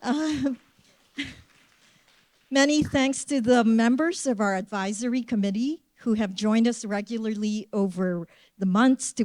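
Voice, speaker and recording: A woman's voice: fairly high pitch, darkish tone, dry recording. Filipino-native woman, curator closing remarks. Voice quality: formal, measured delivery reading a list of thanks. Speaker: senior director of curatorial affairs.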